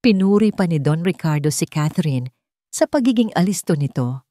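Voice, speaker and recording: A woman's voice: lowish voice, lively intonation, normal speed, mellow, no echo, clean studio sound. A woman with a Filipino accent reading dramatic scripted narration as a storyteller.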